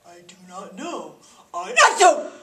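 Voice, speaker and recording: An anxious, melodramatic elephant from a children's storybook , acted out by a woman. The delivery is halting and theatrical, pitched high. It is heard from some distance in an echoey space. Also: wildly swooping pitch, vocal fry, fairly bright tone, full character voice. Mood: panicked. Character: anxious, dramatic sneezing elephant, storybook best friend. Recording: echoey, distant, faint background noise.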